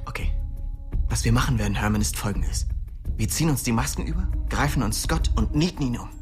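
A man's voice: medium pitch, lively intonation, brisk, darkish tone, close to an everyday voice. An actor playing a tough, restless young man who tells his own story bluntly and intensely in a radio drama. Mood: tense. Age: young adult. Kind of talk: first-person radio drama narration. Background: music.

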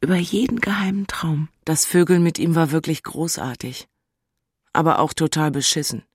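A woman's voice: low voice, normal speed, breathy edge. An actress playing an emotional, impulsive young woman in love turmoil, in a dramatic radio play style. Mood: bitter, tipsy. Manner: dramatic, volatile, shifting into murmurs. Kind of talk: German radio play acting. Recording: music underneath.

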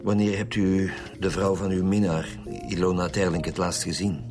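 An actor playing a firm, probing Flemish homicide detective in a radio drama. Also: male voice, low voice, little pitch movement, moderate pace, a little mellow, natural voice. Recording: music behind the voice.

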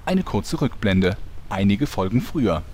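An actor playing a solemn storyteller narrating a heroic fantasy parody audio drama. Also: male voice, fairly low pitch, sing-song, unhurried, very noisy. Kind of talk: scripted narration.

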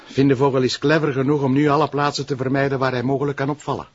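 An actor playing a loyal, dry police detective. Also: male voice, mid-range voice, little pitch movement, fast talker, breathy edge, natural voice. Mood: matter-of-fact. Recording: muffled sound, faint noise.

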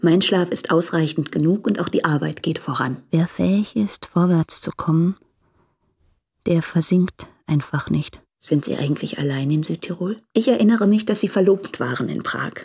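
Breathy, candid proud young woman. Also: fairly low pitch, normal speed, a little mellow. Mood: composed, curious. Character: proud, impoverished young woman translator in Vienna, candid, restless. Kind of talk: German radio play, reading letters aloud.

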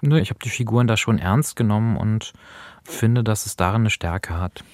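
A man's voice: lowish voice, expressive, normal speed, dry recording, clean studio sound. A man co-hosting a podcast in a conversational, reflective way. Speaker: podcast co-host. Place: dry studio.

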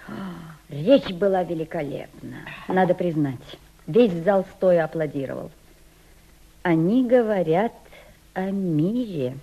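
An actress playing a guarded, worldly Russian émigré journalist, speaking expressively in a radio drama. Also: female voice, on the low side, lively intonation, takes it slowly, mellow, full character voice. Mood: impressed, pensive. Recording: dull, faintly noisy old radio-play recording.

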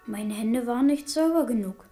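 A woman's voice: a little high, moderate pace, mellow, soft background music, natural voice. A performer, heard as female, playing a bold, cheeky, forthright young prince in a German radio drama. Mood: boyish, curious.

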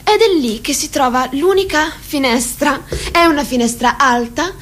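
Formal lady narrator in a radio drama, really high voice, measured and precise. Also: expressive, fairly bright tone. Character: female narrator reciting a party hierarchy, precise and formal.

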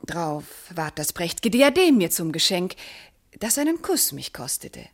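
An actress playing a proud, loving, wounded noble wife in classical verse radio drama, speaking in an earnest, elevated way. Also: female voice, fairly low pitch, very animated, unhurried, heavily acted. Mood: tender recounting.

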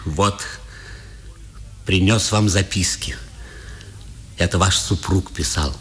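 A man's voice: sits low, takes it slowly, a bit bright. An actor playing an earnest, reserved man with restrained delivery in a 1950s radio drama. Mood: earnest, subdued.

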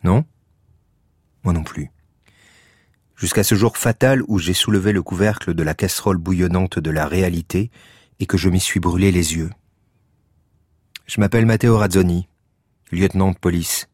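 An actor playing a wry, world-weary police lieutenant in a radio drama, deadpan and ironic. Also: male voice, sits low, normal speed, pauses often, husky. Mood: deadpan narration. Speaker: narrator and lead character. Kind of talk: scripted radio fiction acting.

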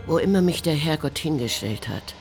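A man playing a pious, stern rural believer in a radio play, solemn and admonishing, with a creaky voice. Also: a little high, expressive, moderate pace, music in the background. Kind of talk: literary radio play monologue.

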